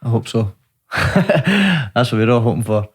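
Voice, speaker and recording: A man, a fighter and coach, chatting casually and quickly, often ending his lines with "man". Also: mid-range voice, very animated, husky. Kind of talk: casual chat.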